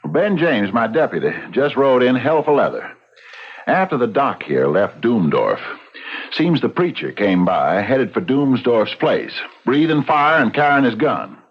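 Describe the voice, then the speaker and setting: A man's voice: medium pitch, very animated, a little slow, breathy edge, exaggerated voice. An actor playing a big, folksy frontier clerk and lawman in a radio drama, with plain country talk. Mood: grave. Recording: dull lo-fi radio recording.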